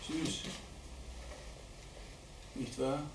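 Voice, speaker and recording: A man giving a live dramatic poetry reading at a literary event, declaiming deliberately with strong shifts between hushed and loud delivery. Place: indoor literary event venue at a theatre. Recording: roomy live hall recording, distant mic, faint noise.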